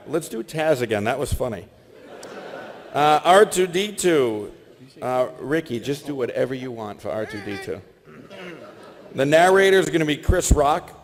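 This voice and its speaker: A man hosting a live stage show, casually announcing roles to performers and audience. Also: a little high. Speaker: host. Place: convention stage before an audience. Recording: echoey convention hall.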